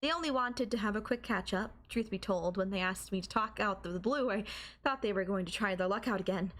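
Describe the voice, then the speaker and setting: Casting: woman as young student girlfriend, mid-pitched, coy, teasing and affectionate, for intimate anime-style audio roleplay. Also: fairly quick, a bit bright, natural voice. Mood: coy confiding.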